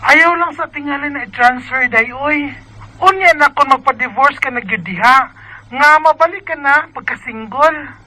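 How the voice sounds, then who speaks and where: A woman's voice: sits high, normal speed, sharp tone, low-quality recording. A woman with a Filipino Visayan accent acting a concerned, practical confidante in a radio drama. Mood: concerned, advising.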